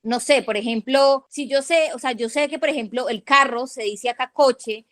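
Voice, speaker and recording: Latin american woman rambling on a podcast, kinda high voice, dry close sound. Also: fairly bright tone, dry recording. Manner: chatty, many fillers like "o sea", quick asides. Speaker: podcast co-host. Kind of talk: casual chat.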